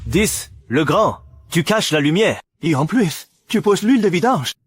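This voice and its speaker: A man voicing a cocky, mocking young superpowered fighter in a French dub of an animated action series. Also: a little high, measured pace, slightly rough, no room sound, studio quality. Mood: taunting. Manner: flippant, taunting.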